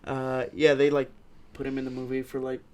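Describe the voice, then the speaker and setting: A man co-hosting a casual film review chat, speaking haltingly as he thinks aloud. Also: medium pitch, completely flat delivery. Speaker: podcast co-host reviewing films.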